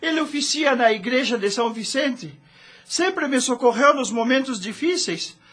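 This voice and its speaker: A woman's voice: high voice, animated, normal speed, a bit bright, husky, heavily acted. A performer, apparently a woman, playing a loyal, humble male servant in a radio drama, deferential and earnest. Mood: hopeful, earnest.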